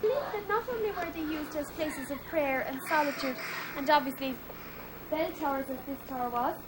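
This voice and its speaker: High-voiced woman tour guide. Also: noisy background.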